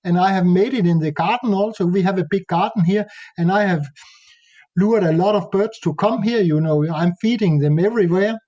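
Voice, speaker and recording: A Danish man speaking English with a Danish accent, talking haltingly in a casual interview and often pausing to search for words. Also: sits high, mellow, lo-fi sound. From Denmark. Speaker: nature photographer guest.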